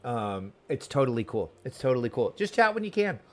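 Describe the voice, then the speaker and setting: A man's voice: medium pitch, big pitch swings, a little fast. A man chatting casually on a livestream, commenting on the game he plays and talking to his viewers. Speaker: livestreamer playing an online game.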